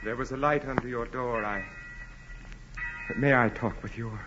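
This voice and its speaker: An actor playing a wealthy, commanding aristocrat, formal, grave and insistent, in 1940s radio drama style. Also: male voice, medium pitch, fairly even intonation, normal speed, close to an everyday voice. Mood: brooding. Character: wealthy aristocratic landowner, commanding, brooding, intense suitor. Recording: muffled, noisy old radio broadcast.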